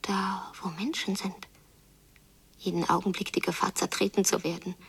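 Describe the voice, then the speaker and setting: An actress voicing an anxious woman who feels like a hunted, hiding creature, in a breathy whisper for a radio drama. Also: mid-range voice, level tone, normal speed, a bit bright, far from the mic, exaggerated voice. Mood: hushed dread. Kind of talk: literary radio drama inner monologue.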